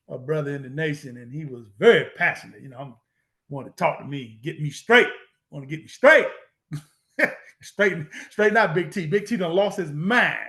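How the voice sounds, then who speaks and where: High-voiced man lecturing emphatically. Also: pushed and bright. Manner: emphatic, repeats points, rhetorical questions; street-style informal grammar. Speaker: lecturer on Moorish American history. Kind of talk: preaching lecture to viewers.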